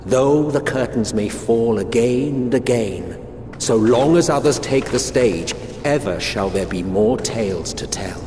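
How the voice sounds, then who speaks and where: A man's voice: mid-range voice, animated, measured pace, darkish tone, lightly acted. A man playing a grave, wistful storyteller, narrating a video game with theatrical, philosophical weight in a reverberant sound. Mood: wistful, solemn. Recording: long echoing reverb, faint noise.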